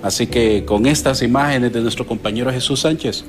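A middle-aged man with a Honduran accent giving a halting, improvised live news report on location into a handheld microphone. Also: medium pitch, music in the background. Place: on location at a house.

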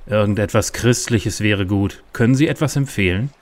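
A man's voice: fairly low pitch, moderate pace, natural voice. An actor playing an unhinged, paranoid conspiracy-minded man with stilted speech in a parody detective audio drama. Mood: stiffly polite. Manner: stilted politeness breaking into paranoid ranting. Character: unhinged paranoid conspiracy-raving man, first stiffly polite.

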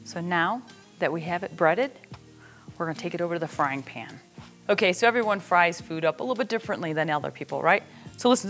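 A woman's voice: fairly low pitch, fairly bright tone. A middle-aged woman hosting a casual cooking demonstration in a plainspoken, folksy way, recorded in a home kitchen. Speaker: cooking host.

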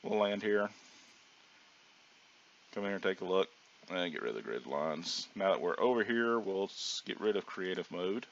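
A hoarse man casually narrating his own gameplay as a channel host, rambling with plenty of ums and restarts. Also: low voice, lively intonation, moderate pace, long pauses, slight background noise. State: hoarse from sinus trouble. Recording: dull lo-fi audio.

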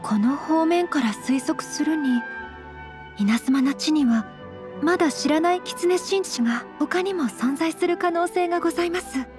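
An actress playing a polite, refined young noblewoman in an anime game, speaking gently and very formally. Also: high-pitched, a little slow, over music. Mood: earnest, composed. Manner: very formal honorific speech, composed and courteous. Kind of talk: Japanese anime game voice acting.

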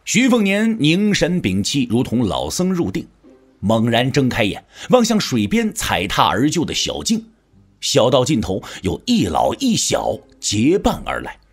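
A man narrating a martial-arts novel as a dramatic audiobook, with a measured, expressive storyteller's delivery. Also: medium pitch, slightly rough. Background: background music.

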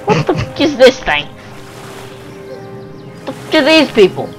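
A man's voice: very high-pitched, very animated, a little slow. A young man giving casual, goofy gameplay commentary, reacting aloud with exclamations. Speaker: gamer. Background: game music.

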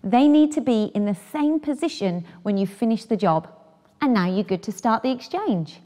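High-pitched British woman presenting training. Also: lively intonation, normal speed, dark tone. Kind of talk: scripted instructional presenting.

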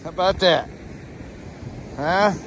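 A man talks casually behind a handheld phone on an open beach, his voice fairly high for a man. Loud wind buffets the mic and surf crashes behind him. His remarks are relaxed and drawling. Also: slow. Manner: easygoing, chatty, drawling remarks. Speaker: vlogger filming a fishing trip. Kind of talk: casual narration behind the camera. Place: windy ocean beach outdoors. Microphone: handheld phone camera.

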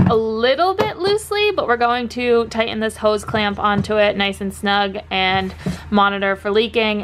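A woman's voice: medium pitch, noisy background. A young woman walking through plumbing steps on a bus conversion, practical and step by step, with the odd "um". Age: young adult. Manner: practical, step-by-step, with frequent ums. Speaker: vlogger building out a bus. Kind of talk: DIY how-to explanation. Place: inside a bus under conversion.

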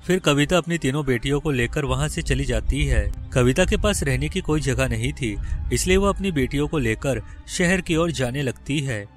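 A man narrating a scripted children's moral story. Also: on the high side.

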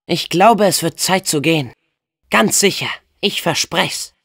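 A man's voice: fairly high pitch, measured pace, creaky, fairly bright tone, exaggerated voice. A young man voicing a plucky, kind-hearted teenage boy hero in a video game dub, earnest and spirited, with casual youthful phrasing. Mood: friendly.

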